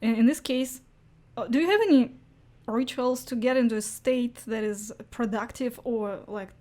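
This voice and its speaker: A woman's voice: a little high, fairly even intonation, moderate pace, warm tone. A woman interviewer in a casual chat, phrasing her questions tentatively and often acknowledging what she hears.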